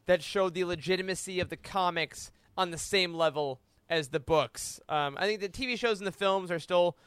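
American guy on a fan podcast, high bright voice, really animated and jokey. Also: moderate pace, clean tone, no echo. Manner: animated, joking, emphatic. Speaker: podcast panelist. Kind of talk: casual fan discussion.

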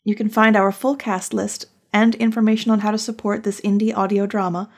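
A woman's voice: medium pitch, flat delivery, a little fast. A woman reading scripted end credits as the show's writer.

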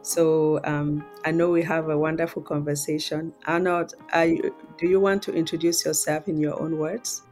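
A woman's voice: low-pitched, flat delivery, moderate pace, few pauses, darkish tone, soft background music. A woman hosting a podcast interview, asking questions in an encouraging way. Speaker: podcast host.

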